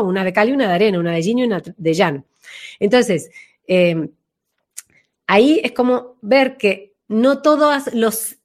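A woman explaining her own method as a voice practitioner in an expressive, conversational way, illustrating her points as she goes. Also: on the high side. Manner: expressive, acts out names and quotes to illustrate points. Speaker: voice and name-energy practitioner being interviewed.

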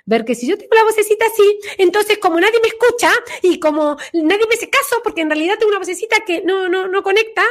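A woman's voice: very high-pitched, lively intonation, fast talker, hardly any pauses, pitched above the performer's own voice. A woman voicing a whiny, ignored woman with a small childlike voice, complaining and pleading in an interview demonstration. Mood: exasperated.

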